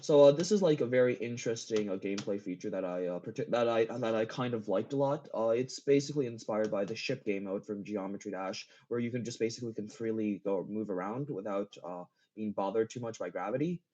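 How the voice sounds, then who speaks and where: Male gaming guest, mellow and halting, giggly, casual; muffled lo-fi voice-chat sound. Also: on the low side. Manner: halting, repeats phrases, giggly. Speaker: interview guest, game map builder. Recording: dull lo-fi voice chat.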